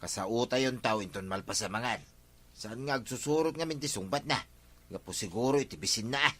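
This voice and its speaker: A male actor plays an excitable Ilocano village man in a radio comedy. His voice is mid-pitched, bright and forceful. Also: brisk, lightly acted. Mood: explaining.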